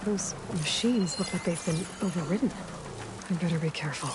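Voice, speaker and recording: Woman acting a wary warrior heroine, lowish voice over music. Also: brisk, close to an everyday voice. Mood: focused. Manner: terse, purposeful. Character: wary, determined young woman warrior scouting enemy ruins. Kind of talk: video game dialogue, musing aloud to herself. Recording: loud music behind the voice.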